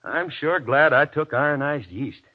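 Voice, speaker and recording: A man's voice: fairly high pitch, unhurried, close to an everyday voice. An actor playing an earnest wartime working man in a 1940s radio commercial. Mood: relieved. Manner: earnest testimonial delivery. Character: weary, jittery wartime worker in a radio advertisement. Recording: noisy, dull old radio recording.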